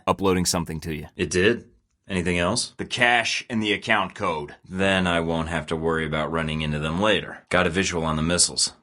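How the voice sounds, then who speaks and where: A cocky young secret agent for a video game, speaking in a very low register. His lines come out clipped and deadpan, and he slips in sardonic jabs between mission calls. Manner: terse, dry and sardonic. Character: wry, cocky young male spy agent. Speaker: game protagonist.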